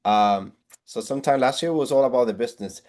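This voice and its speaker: A man's voice: medium pitch, fast, a little mellow. A man in his forties from Puerto Rico with a Puerto Rican Spanish accent, a real estate agent talking earnestly about his business and priorities. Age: 40s. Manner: earnest, motivational, with frequent restarts. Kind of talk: interview on video call.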